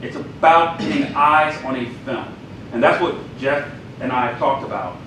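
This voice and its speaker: A filmmaker guest speaking to a group at a live event, jokey and animated, acting out quotes, recorded from a distance in an echoey room. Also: male voice, mid-range voice, normal speed, slight background noise.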